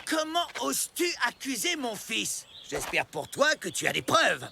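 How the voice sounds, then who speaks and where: Un chasseur préhistorique autoritaire, voix perchée. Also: male voice, very high voice, very animated, measured pace, creaky, noisy background, exaggerated voice. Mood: stern, indignant. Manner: commanding, declamatory. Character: belligerent adult male prehistoric hunter, stubborn father. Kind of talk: animated series voice acting. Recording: music behind the voice.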